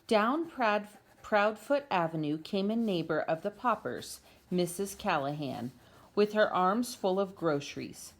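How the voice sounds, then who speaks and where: Very animated woman reading a storybook. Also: medium pitch, measured pace. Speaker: parent reading aloud to family. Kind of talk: expressive storybook read-aloud. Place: at home.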